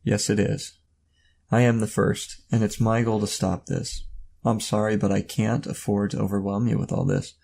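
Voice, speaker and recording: An actor playing an enigmatic, commanding older robed man in an audio drama, with a gravelly voice and a solemn, cryptic, measured delivery. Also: fairly low pitch, level tone, a little mellow, slight background noise. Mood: grave, urgent.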